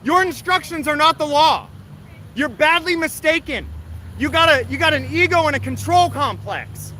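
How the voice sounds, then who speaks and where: Combative high-pitched man livestreaming outdoors. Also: lively intonation, moderate pace, bright, forceful tone. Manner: combative, indignant, scolding. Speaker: livestreamer filming police. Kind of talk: confrontational street livestream. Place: outdoors near a street. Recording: noisy outdoor street recording with traffic.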